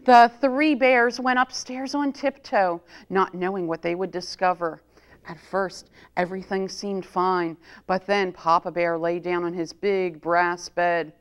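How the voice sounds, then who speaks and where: A woman reading a children's story aloud as a storytime reader, in an expressive, deliberate manner. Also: lowish voice, clear, resonant tone. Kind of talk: expressive picture-book read-aloud for children.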